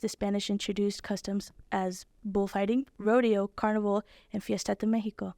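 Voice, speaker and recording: Brief: teen girl, mellow voice, steady school-report reading, dry recording. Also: medium pitch, normal speed, no echo. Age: teens. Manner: steady, evenly paced reading. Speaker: student presenter. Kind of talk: read narration.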